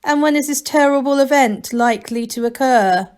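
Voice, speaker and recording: A woman voicing an ancient gnome abbot in a storybook reading, smooth, condescending and mockingly kind. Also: high-pitched, normal speed, a little mellow, voice pushed up, close to an everyday voice. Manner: smooth, condescending, mock-kindly.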